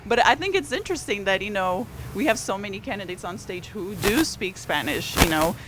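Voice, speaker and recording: A woman's voice: mid-range voice, animated, a little fast, noisy background. A woman whose first language is Portuguese, a newspaper columnist talking politics on a live stream in a chatty way, with fillers and restarts. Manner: conversational, fillers and restarts, rising energy on key points.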